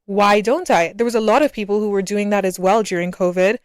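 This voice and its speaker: Casting: woman, early twenties, from Barbados, smooth clear voice, casual reflective podcast host, close dry sound. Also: mid-range voice, fairly quick, no room sound.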